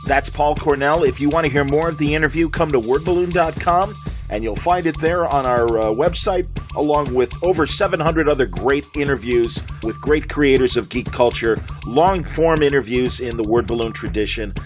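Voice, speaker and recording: A man's voice: fairly high pitch, moderate pace, soft background music. A middle-aged American man hosting a podcast, chatting casually and enthusiastically as an interviewer. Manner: enthusiastic, fannish.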